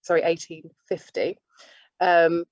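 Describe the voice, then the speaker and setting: A woman giving an informal lecture, halting and often restarting her sentences. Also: fairly low pitch, little pitch movement, a little mellow, dry recording. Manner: halting, with many restarts and self-corrections. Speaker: speaker giving a talk on nursing history. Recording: dull lo-fi dry recording.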